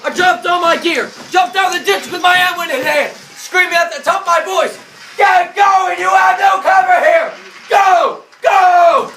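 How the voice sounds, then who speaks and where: A man's voice: very high-pitched, unhurried, raised voice, a crowd in the background. A man playing a dutiful, battle-tested American paratrooper officer, declaiming his memories of combat in a theatrical war drama. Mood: urgent, commanding. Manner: declamatory, bellows battle orders. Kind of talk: theatrical monologue and dialogue. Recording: echoey room from far off, noisy.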